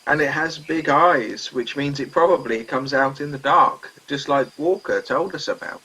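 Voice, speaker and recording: A man playing a timid, anxious, gentle crewman in a comic radio play. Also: on the high side, moderate pace, husky, full character voice, poor audio.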